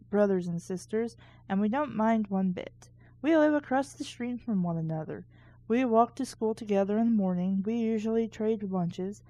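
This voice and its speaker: A woman's voice: mid-range voice, warm tone, no room sound. A woman hosting a book reading show, reading aloud casually and breaking off for sarcastic, critical asides.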